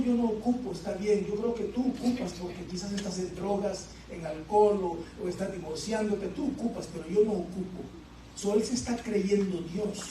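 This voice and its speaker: A man preaching, asking the congregation questions and filling pauses with "este". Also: sits high, mellow, slight background noise. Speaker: pastor. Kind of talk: sermon. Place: church sanctuary. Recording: echoey church from far off.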